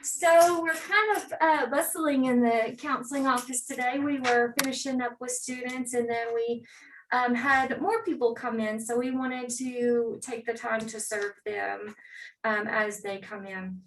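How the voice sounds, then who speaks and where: Woman counselor hosting a panel from her office on a video call; slow, halting, high voice, set back from the mic. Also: steady tone, recorded from a distance, low-quality recording. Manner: slow, halting, many fillers. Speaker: counseling development specialist.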